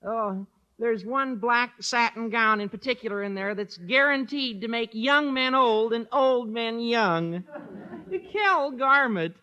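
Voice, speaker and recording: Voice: mid-range voice, slow, bright, forceful tone, muffled recording. An actor playing an exuberant, scheming teenage schoolboy with flowery, dramatic phrasing in a 1950s radio sitcom. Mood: scheming, excited.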